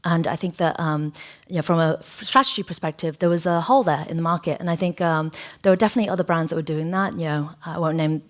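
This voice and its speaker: A woman's voice: deep voice, quick pace. A middle-aged woman with an English accent, born in Hong Kong, giving a conversational lecture from a podium in a large hall, with frequent fillers and restarts. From Hong Kong, raised in England. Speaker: guest speaker, chief creative officer. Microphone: podium microphone.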